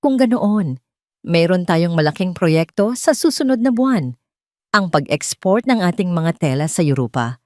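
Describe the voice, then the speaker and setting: A grave, frank old businessman and family friend in an audio drama, voiced by a woman. The delivery is measured and formal, in a dry, close recording. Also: fairly low pitch, lively intonation, darkish tone, no room sound, close to an everyday voice.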